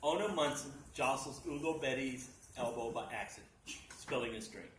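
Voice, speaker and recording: A man reading a comic short story aloud at a live reading, with theatrical, deliberate emphasis. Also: mid-range voice, a bit bright. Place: library function room at a live reading. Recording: echoey room, distant mic, noisy.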